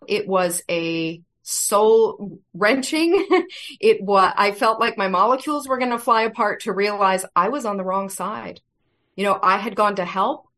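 A woman being interviewed as a guest, speaking earnestly and in a measured way. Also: medium pitch, fairly bright tone. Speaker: guest, federal whistleblower. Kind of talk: interview answers.

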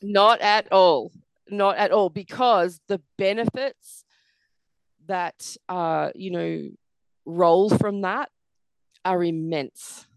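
A middle-aged woman, a podcast guest, speaking earnestly with a croaky, tired voice and lots of "you know" fillers. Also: fairly low pitch, takes it slowly, no room sound. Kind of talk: reflective interview conversation.

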